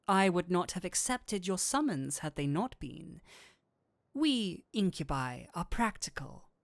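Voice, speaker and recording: A man playing a flirtatious incubus demon in a roleplay audio drama, with a teasing, theatrical, drawn-out delivery. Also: high-pitched, sing-song, creaky, no room sound, clean studio sound, exaggerated voice. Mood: seductive, reassuring. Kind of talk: scripted roleplay audio drama, addressing the listener.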